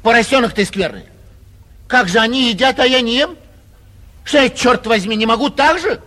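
A man playing a vain, petulant, cowardly young gentleman in a 1940s theatrical radio play, whining and indignant in a husky voice, with long reverb on the old recording. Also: extremely high pitch, moderate pace. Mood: haughty, indignant. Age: young adult.

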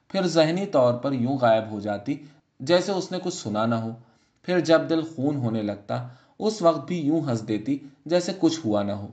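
A man with a medium-pitched voice narrates literary fiction. He reads in a measured way, as an audiobook narrator does, giving the prose an expressive storytelling shape.

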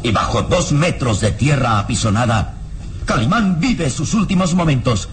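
A man's voice: mid-range voice, expressive, normal speed, over music. A man narrating a scripted radio serial in a grave, suspenseful, dramatic manner.

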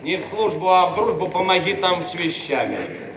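A pompous Soviet bureaucrat in an old radio comedy, indignant and imperious. His voice is pitched very high and sounds distant in a big echoing hall. He declaims every demand with great self-importance. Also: expressive, normal speed, highs cut off. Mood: condescending. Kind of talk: theatrical radio play acting. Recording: echoey noisy archival radio-play recording, distant.

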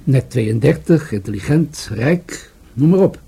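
An actor playing a probing, dryly skeptical police detective in a radio play, questioning in a measured way. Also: male voice, mid-range voice, expressive, vocal fry, dark tone, slightly rough, exaggerated voice. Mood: skeptical, musing. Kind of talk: Dutch radio play acting.

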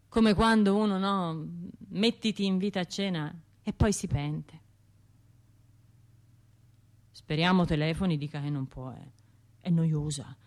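A woman's voice: on the low side, darkish tone. An actress playing a bitter, sardonic, grieving woman with a Tuscan accent in a colloquial radio drama monologue. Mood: wry, weary. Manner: colloquial, sardonic, acting out other people's remarks. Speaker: stage and radio actor.

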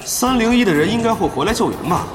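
A man's voice: high voice, sing-song, quick pace, long reverb tail, faint music behind. A man playing a thoughtful esports analyst in an audio drama, explaining the play in a considered way. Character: measured male esports pundit, explanatory and thoughtful. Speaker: guest analyst.

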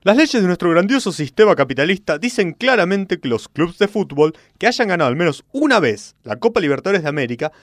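A man's voice: high-pitched, big pitch swings, no room sound, clean studio sound. A man with an Argentine accent playing a pompous, eccentric football club president in a radio comedy sketch. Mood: brisk, imperious. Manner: pompous, halting then grandiloquent.

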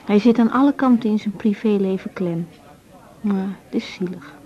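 A woman's voice: medium pitch, moderate pace, warm tone, natural voice. Actress as thoughtful young woman doctor, dull sound. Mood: frank, confiding. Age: young adult. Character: young woman doctor, reflective, self-doubting, gently frank. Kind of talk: naturalistic radio drama dialogue. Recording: dull 1980s radio recording.

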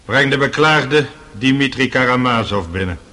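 A man's voice: mid-range voice, animated, moderate pace, a crowd in the background, lightly acted. A man acting in a radio drama as a brusque, formal, middle-aged court president with an air of authority. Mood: stern, official. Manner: formal, official.

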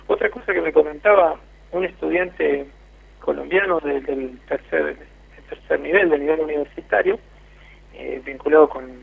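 A man's voice: on the high side, normal speed, creaky, husky. A man with an Argentine accent reporting by phone for a radio interview, hesitant, with many fillers and restarts. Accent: Argentine Spanish. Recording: tinny phone line.

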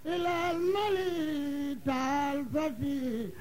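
A woman leading a devotional chant, singing long drawn-out verses with high rising calls. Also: lively intonation, a little mellow. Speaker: lead chanter. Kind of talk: devotional Arabic chanting, call and response.

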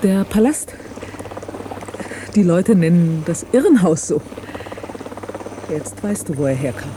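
Slow, expressive, concerned woman. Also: fairly low pitch, background noise. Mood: explaining. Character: concerned, coaxing woman, old friend of the journalist. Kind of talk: German radio drama acting.

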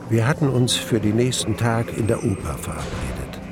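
An actor playing a wistful man recounting his past love as narrator of a German radio play, in measured, literary standard German. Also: fairly low pitch, big pitch swings, over music. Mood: reflective.

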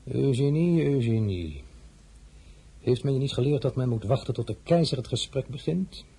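A bossy sarcastic emperor in a radio play, dark mellow tone but really husky, curt and formal. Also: male voice, medium pitch, animated, moderate pace, exaggerated voice. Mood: curt, imperious. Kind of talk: Dutch radio drama dialogue.

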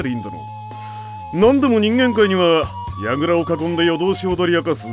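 Loud-mannered slangy anime dad king, highish voice that swoops around, music behind. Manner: gruff slangy downtown bravado. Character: boisterous, rough-talking festival-loving middle-aged king and father. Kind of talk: Japanese anime audio drama acting.

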